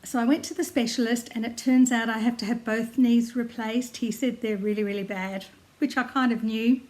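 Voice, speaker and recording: An older Australian woman with a slightly croaky voice, chatting casually to camera from home about her knitting, with frequent ums and small laughs. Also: a little high, fairly even intonation, moderate pace, a little mellow. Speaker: knitting vlogger. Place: at home in her lounge room, seated. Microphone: tablet camera close by.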